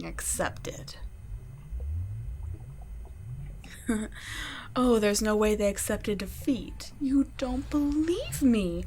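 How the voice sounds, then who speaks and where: A woman voicing a cold, teasing female vampire scientist in an ASMR roleplay, speaking softly and often in a whisper, mocking and condescending. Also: mid-range voice, slight background noise, lightly acted. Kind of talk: ASMR roleplay audio drama.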